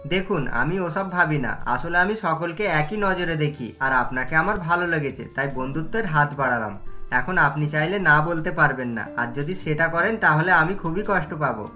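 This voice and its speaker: Casting: male actor as determined young lover for cartoon, forceful bright tone, pleading delivery, music bed. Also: fairly high pitch, moderate pace. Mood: friendly, eager. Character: earnest, insistent young man in love. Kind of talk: cartoon story dialogue. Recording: music behind the voice.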